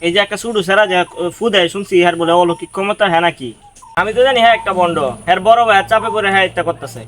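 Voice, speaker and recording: Pious, sensible villager male actor, high voice, very rapid earnest moralizing, amateur skit, slight ambient noise. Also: fairly bright tone. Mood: disapproving. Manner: earnest, preachy, repetitive. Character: pious, sensible villager warning against fraud.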